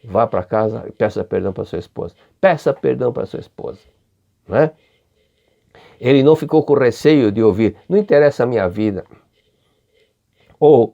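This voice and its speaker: An older man with a Brazilian Portuguese accent giving a religious study lecture, unhurried and often repeating or restarting his phrases. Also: medium pitch, vocal fry, dark tone, dull audio.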